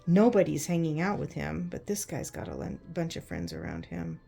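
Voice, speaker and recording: A woman narrating a picture book to children in an expressive, conversational way, using simple explanations and checking in with questions. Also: sits low, normal speed, gravelly, faint music behind. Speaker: children's read-aloud host.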